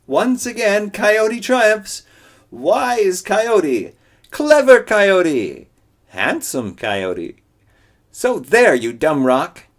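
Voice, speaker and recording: A man voicing a vain, boastful male coyote trickster in a story, with a high, squealing, exaggerated falsetto and a wheedling, prattling manner. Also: sing-song, unhurried. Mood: gloating. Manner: wheedling, prattling, shrill outbursts.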